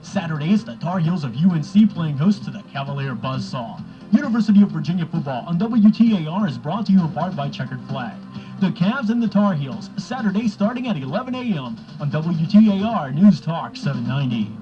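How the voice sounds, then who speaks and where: A man's voice: on the high side, big pitch swings, fairly quick, dark tone, soft background music. A man working as a radio reporter, reading scripted news and sports in an old lo-fi radio recording. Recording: dull lo-fi old radio aircheck.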